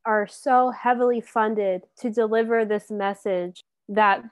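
A woman's voice: mid-range voice, fairly even intonation, a little slow, smooth, no room sound. A woman in casual conversation, exasperated and blunt, swearing now and then.

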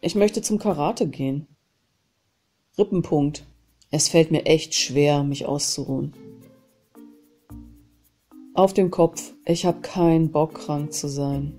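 A woman with a cold leads a guided tapping exercise in a low, mellow voice. She calls out each point, then says a short phrase to repeat. Faint music plays underneath. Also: slow pace, long pauses. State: has a cold. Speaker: self-help channel host. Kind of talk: guided EFT tapping session.

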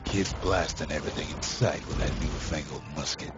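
A very deep, gravelly old storyteller drawls out each line in a slow, laconic way. The game audio sounds dull and lo-fi, with music playing clearly underneath. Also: male voice.

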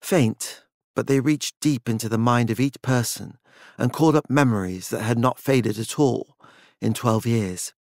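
A man's voice: medium pitch, measured pace, no room sound, clean studio sound. A man narrating a dramatic work of fiction as an audiobook.

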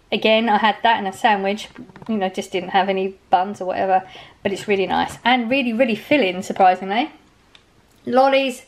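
A woman in her forties chatting casually at home in her kitchen, rambling cheerfully about the bargains she bought. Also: on the high side. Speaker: vlogger. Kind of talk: casual grocery haul chat.

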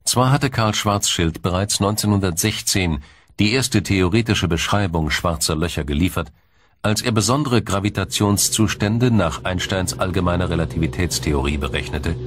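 Deep-voiced male documentary narrator. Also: moderate pace. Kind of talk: scripted science documentary narration. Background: music.

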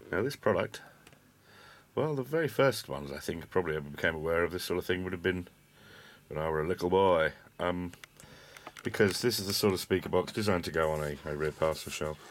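A middle-aged British man giving a casual hands-on product review in a chatty, colloquial way, with wry asides. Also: sits low. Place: small cluttered indoor desk workspace.

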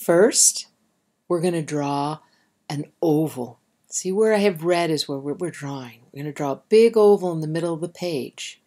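A woman's voice: deep voice, normal speed, warm tone. A woman reading aloud to young children and guiding them in simple, instructive language, speaking directly to the listener. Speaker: storybook reader and drawing guide.

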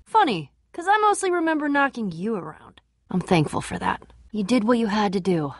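A woman playing a feisty, sardonic young warrior in a video game, with a wry, expressive delivery. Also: medium pitch, fairly quick, no room sound, clean studio sound. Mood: wry, warm. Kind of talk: animated game voice acting.

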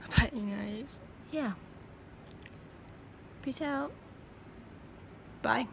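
A tired young woman talking slowly into a phone held close, rambling and half-whispering in a small room. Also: on the high side, creaky, slight background noise. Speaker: vlogger. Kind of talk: casual selfie video chat.

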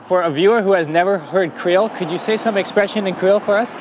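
A man's voice: high-pitched, fast talker, noisy background. A man hosting street interviews, asking friendly questions off camera outdoors. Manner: friendly, short reactions. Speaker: interviewer, host.

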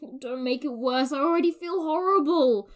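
An actress playing a sheepish, wry young bachelorette in an audio drama, talking casually with dry, hesitant delivery. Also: female voice, high-pitched, moderate pace, close to an everyday voice. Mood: rueful. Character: sheepish, wry young bachelorette confessing her love life.

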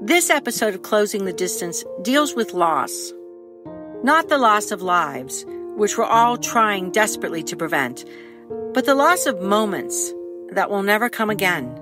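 A woman's voice: medium pitch, big pitch swings, unhurried, music in the background. A woman reading a scripted introduction to an audio drama as its writer.

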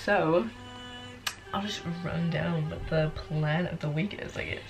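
A young woman in her late teens talks straight to a handheld camera in a small bedroom, rambling loosely with plenty of ums and likes. Her voice sits a little low for a woman, and soft music plays faintly behind her. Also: measured pace. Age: young adult. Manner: chatty, rambling with many fillers. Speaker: vlogger. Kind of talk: casual vlog chat. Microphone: camera at arm's length.

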